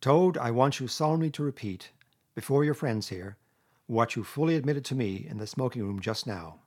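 A man's voice: medium pitch, expressive, moderate pace, darkish tone, breathy edge. A man voicing a stern, fatherly badger in a storybook, speaking in a solemn, firm, oratorical manner. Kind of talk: storybook character reading.

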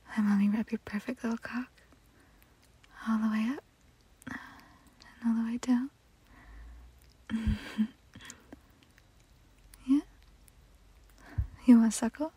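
Woman, soft breathy whisper, husky and dark, unhurried delivery. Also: a little high. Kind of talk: intimate whispered roleplay audio.

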